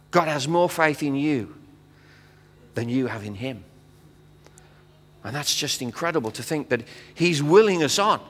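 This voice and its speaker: A man's voice: mid-range voice, a bit bright. A middle-aged man preaching from a church stage in a chatty, joking way with frequent fillers. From England. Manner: conversational preaching with fillers and quips. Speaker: visiting pastor. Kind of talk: sermon. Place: church hall stage with audience. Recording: long hall reverb.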